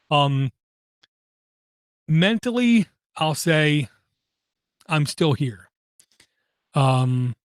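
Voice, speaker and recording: A man hosting a podcast, talking through personal matters in a halting way, with many pauses and fillers and an occasional stutter. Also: medium pitch, no room sound. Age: adult, has kids. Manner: halting, frequent ums and uhs, slight stutter. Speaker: podcast host. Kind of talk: personal monologue.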